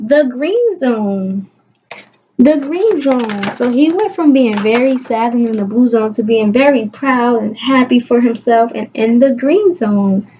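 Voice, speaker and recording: A woman kindergarten teacher giving an expressive read-aloud lesson to young children, coaxing listeners with questions and stressing key words. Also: a little high, highs cut off, a little hiss or hum.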